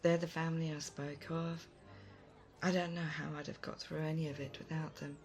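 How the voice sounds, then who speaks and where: A woman playing a thoughtful, kind, self-doubting leader in an audio roleplay, soft-spoken, hesitant and gently earnest. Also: low voice, flat delivery, normal speed, close to an everyday voice. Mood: fond, thoughtful. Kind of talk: immersive audio roleplay addressed to the listener.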